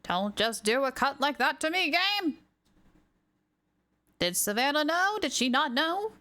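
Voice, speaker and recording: A woman's voice: high voice, sing-song, measured pace, bright, forceful tone. A woman game streamer giving casual live commentary, playfully reacting aloud to what happens. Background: game music.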